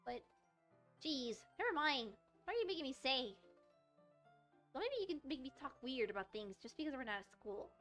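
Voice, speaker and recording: A woman's voice: high-pitched, pushed and bright. A woman voicing a small, feisty, easily flustered schoolgirl, snappy and defensive, in a visual novel. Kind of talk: visual novel dialogue read aloud.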